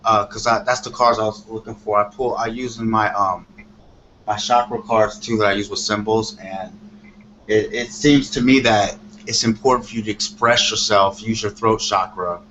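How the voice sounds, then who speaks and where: A man doing a live tarot reading as a guest on a call-in show, speaking haltingly with pauses and partly reading out card meanings. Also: on the low side, steady tone, pushed and bright. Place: remote online call on a talk show. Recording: noisy, muffled internet call.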